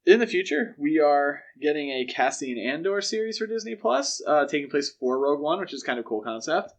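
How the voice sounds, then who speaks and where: A man with a slightly high voice co-hosts a casual podcast. He talks through film news in a speculative, lightly joking way, as in relaxed conversation with a friend. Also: fairly quick. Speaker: podcast co-host.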